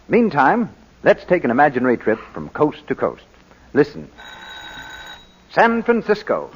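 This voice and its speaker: A man working as a radio announcer and pitchman, reading scripted advertising in 1940s style. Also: slightly rough. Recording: muffled old 1940s radio broadcast.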